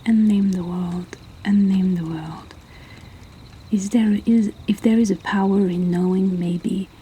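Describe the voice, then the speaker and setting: A woman hosting a poetry reading, speaking in a soft, hushed whisper throughout. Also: mid-range voice, steady tone, measured pace, a little hiss or hum, poor audio. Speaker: host reading poems. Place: indoors by a fire.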